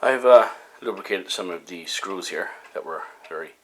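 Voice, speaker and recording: A man giving a casual hands-on repair demonstration, talking haltingly with frequent pauses mid-sentence. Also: sits low, animated. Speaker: hobbyist host of a repair video series.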